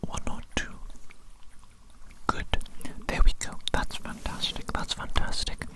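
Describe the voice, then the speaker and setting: Female ASMR performer as evil doctor: fully whispered, breathy, deliberate, very unhurried delivery. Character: evil doctor testing a tiny creature. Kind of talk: ASMR medical roleplay.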